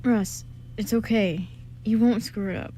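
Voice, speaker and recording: A woman playing a steady, gently teasing best man in an amateur radio play, speaking in a casual, soothing way. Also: medium pitch, unhurried, lightly acted. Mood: gently firm. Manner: casual, soothing, plain-spoken. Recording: clearly noisy amateur recording.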